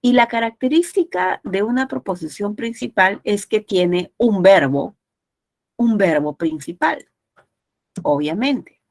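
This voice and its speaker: Slow-paced congested woman teacher. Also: medium pitch, expressive. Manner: didactic, checks understanding often. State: congested nose from a cold. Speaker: teacher of an online class. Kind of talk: explanatory lecture with frequent check-in questions.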